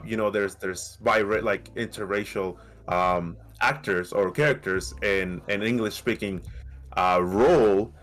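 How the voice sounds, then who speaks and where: A man with a light Mexican accent who co-hosts a podcast. He argues in a casual, animated way, often restarting and asking "right?". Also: on the low side. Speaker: podcast co-host. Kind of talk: casual debate.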